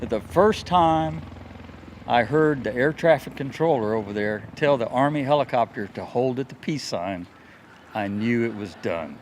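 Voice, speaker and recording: A man's voice: medium pitch, animated, measured pace. Older guy in his 60s, folksy n sincere, telling stories outside, bit of background noise. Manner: earnest, folksy. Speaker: interviewee, airport worker and pilot. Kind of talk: casual storytelling interview. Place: outdoors in a grassy wooded clearing. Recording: noisy outdoor field recording, faint vehicle hum.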